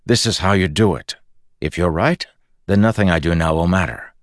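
A man voicing a cocky, determined dead crew leader in an audiobook, with a brisk, insistent and wry manner. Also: deep voice, big pitch swings, no echo, clean studio sound. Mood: urgent, persuasive.

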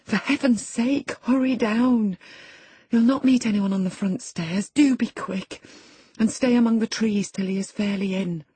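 A woman's voice: sits low, normal speed, few pauses, darkish tone, husky, no echo. A narrator voicing an alarmed, scolding female servant, urgent and exclaiming, in an audiobook.

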